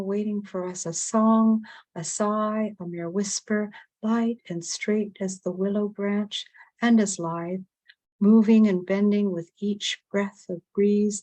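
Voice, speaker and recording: A woman reading her own spoken-word poetry at an online open mic, with solemn, deliberate phrasing and repeated phrases. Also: medium pitch, warm tone. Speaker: poet reading own work.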